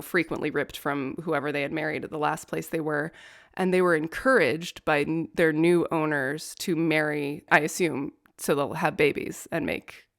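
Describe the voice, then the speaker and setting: A young adult woman co-hosting a podcast, telling a history story in casual chat with wry, profane asides and a nerdy interest in law. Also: low voice. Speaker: podcast co-host.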